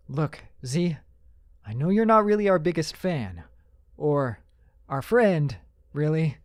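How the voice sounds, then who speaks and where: A man's voice: high-pitched, no echo, studio quality, exaggerated voice. A man playing a polite, wry married mage on a quest in an audio drama.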